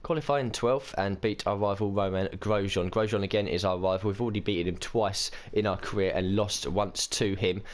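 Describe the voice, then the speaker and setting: A man hosting a racing game let's-play, chatting casually and thinking aloud, his voice croaky and hoarse from a cold. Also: on the low side, steady tone, fairly quick, hardly any pauses. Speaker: gaming channel host.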